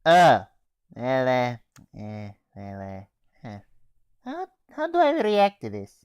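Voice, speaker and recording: A man's voice: mid-range voice, wildly swooping pitch, takes it slowly, darkish tone, smooth, no echo, studio quality, voice pushed down. Teen boy doing a Russian soldier who loves explosives. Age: teens. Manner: teasing, calls listener comrade. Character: Russian male soldier obsessed with explosive charges.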